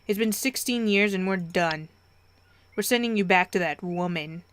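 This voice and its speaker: A woman's voice: mid-range voice, moderate pace, a bit bright, natural voice. A woman voicing a cold, stern father, blunt and emphatic with an adopted child in a story. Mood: cold, fed up.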